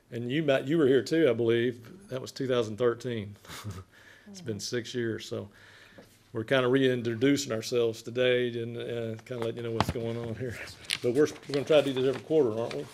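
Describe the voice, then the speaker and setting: An older man speaks in a loud, fairly ordinary-pitched voice with plenty of gravel in it. He presents to a committee as a city inspector would, informally and in folksy, plain-spoken terms. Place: wood-panelled council chamber.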